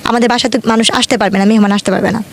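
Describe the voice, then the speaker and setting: A woman's voice: on the high side, steady tone, very fast, a little hiss or hum. A young woman with a Bangladeshi accent, telling her own life story conversationally in detail, often quoting dialogue. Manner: detailed retelling with frequent quoted dialogue.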